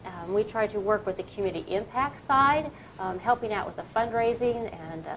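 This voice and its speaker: A woman hosts a community fundraiser segment in a friendly, chatty manner. Her voice sits in a middle pitch range, and there is a faint hiss under the recording. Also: expressive, brisk, a bit bright, poor audio. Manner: friendly, chatty, often laughs. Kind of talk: casual interview and appeal for donations.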